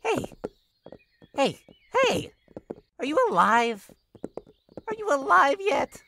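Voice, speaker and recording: A woman's voice: high voice, big pitch swings, takes it slowly, bright, forceful tone, a little hiss or hum, exaggerated voice. A woman voicing a playful, teasing and sinister clown-like jester demon in a horror visual novel game, with theatrical sing-song delivery. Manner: theatrical sing-song teasing, sudden swoops into breathy menace.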